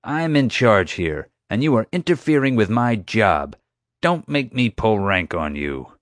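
A man voicing a pompous, gruff, territorial security chief with a curt, commanding manner in an audiobook. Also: lowish voice, very animated, a little slow, no echo, studio quality, voice pushed up. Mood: territorial, angry. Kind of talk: audiobook character dialogue.

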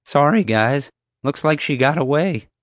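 A man puts on a very young, unsure security guard for an audiobook. The voice sits well above his own, hesitant and jumpy in pitch, in a dry recording. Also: expressive, a little slow, no echo. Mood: sheepish. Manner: hesitant, sheepish.

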